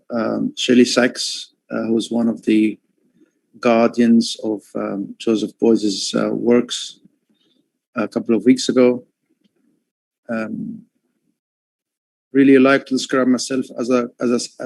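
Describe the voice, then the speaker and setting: Man, from Egypt, artist on a livestream call, hesitant with many fillers, gravelly, slow, dry sound. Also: mid-range voice, a little mellow, dry recording, rough recording. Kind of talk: livestream talk. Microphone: headphones on a call.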